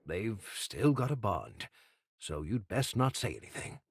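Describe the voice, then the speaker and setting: A nervous pirate sidekick from an anime game, with a very raspy voice. His wary, hesitant speech jumps wildly in pitch. He is a cautious man of middling register. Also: very animated, a little slow, heavily acted. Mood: cautioning. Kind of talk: English anime game voice acting.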